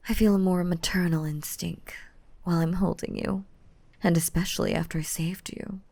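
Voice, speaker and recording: A woman voicing a gentle, motherly young mermaid in an intimate, softly whispered ASMR roleplay, soothing and tender with pet names. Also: fairly low pitch, fairly even intonation, moderate pace, no room sound. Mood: tender, playful. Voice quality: soft, breathy, often whispering. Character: gentle, motherly five-hundred-year-old mermaid, still young, softly dominant.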